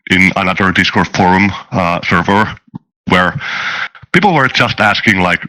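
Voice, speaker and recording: Deep, bright-voiced man answering hesitantly. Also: normal speed, low-quality recording. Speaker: guest, tabletop game master. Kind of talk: casual interview answers.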